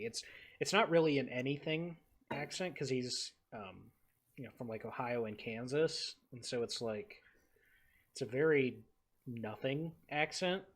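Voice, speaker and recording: Young guy from the texas panhandle, podcast cohost, stumbles and repeats words, lots of ums. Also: mid-range voice. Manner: halting, repeats words, many fillers. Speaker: podcast co-host. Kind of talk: casual podcast chat.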